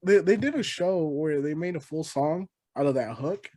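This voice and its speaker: A young man in his late twenties hosting a relaxed podcast conversation. His voice is warm and dark, recorded dry and close with no room sound. He talks in an easygoing, rambling way, often backing up to restart a sentence. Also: fairly high pitch, moderate pace, no room sound. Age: 20s. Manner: laid-back, agreeable, rambling restarts. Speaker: podcast host. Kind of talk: casual interview chat.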